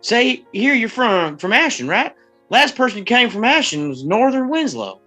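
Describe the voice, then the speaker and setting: A woman's voice: a little high, sing-song, moderate pace, sharp tone, faint music behind, heavily acted. A woman playing a friendly, slightly drunk forty-year-old man, a small-town mayor who stammers and rambles in a screenplay table read. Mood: folksy, tipsy.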